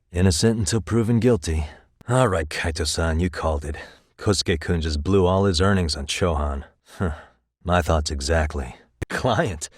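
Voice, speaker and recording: A man's voice: sits low, no echo, clean studio sound. An American man voicing a cool, confident, wry private detective in a video game, with a casual, self-assured delivery. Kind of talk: video game dialogue acting.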